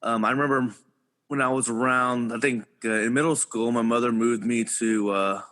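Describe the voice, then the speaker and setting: A farmer from Houston chats as a podcast guest. His voice is bright and mid-pitched, and he tells his stories in an easygoing way with a few fillers. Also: steady tone, moderate pace. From Houston, Texas. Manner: easygoing storyteller, some fillers. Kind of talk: casual interview chat.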